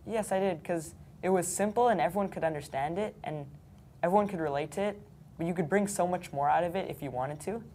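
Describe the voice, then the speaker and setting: An eighth-grade girl with a very low voice talks casually about a lesson, using plenty of fillers. Faint room ambience sits behind her. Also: a little fast, mellow, a little hiss or hum. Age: young teen. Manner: casual, uses many "like" and "um" fillers. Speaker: student.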